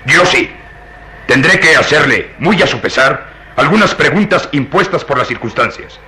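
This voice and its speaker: Forceful, bright-voiced, insistent police inspector. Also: male voice, on the high side, lively intonation, moderate pace. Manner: pressing, theatrical, commanding. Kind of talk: Latin American radio drama acting.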